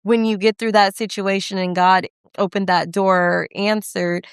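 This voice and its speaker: A woman's voice: mid-range voice, level tone, unhurried, smooth, dry recording, clean studio sound. A young woman co-hosting a faith podcast, talking reflectively and affirming her co-host's points. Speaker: podcast co-host. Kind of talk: faith conversation.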